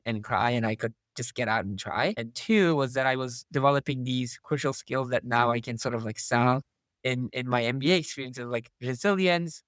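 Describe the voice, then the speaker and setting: Young man from Turkey, relaxed interview talk. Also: mid-range voice, no room sound. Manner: thoughtful, uses many "like" fillers. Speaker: MBA student guest. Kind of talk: casual interview chat.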